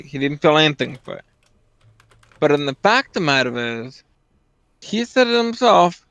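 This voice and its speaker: A man co-commentating in casual discussion, halting yet insistent, on a dull, low-fidelity mic. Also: fairly bright tone, no echo.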